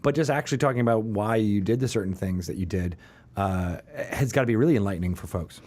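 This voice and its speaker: A man's voice: on the low side, fast, a little mellow. A man hosting an interview show, chatting casually and thinking aloud with frequent asides. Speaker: talk show host.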